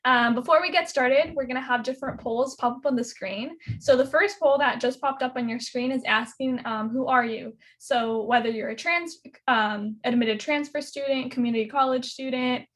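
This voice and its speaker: A woman's voice: high voice, normal speed, hardly any pauses, a bit bright. A young woman moderating a virtual student panel over a video call, upbeat and casual with many fillers.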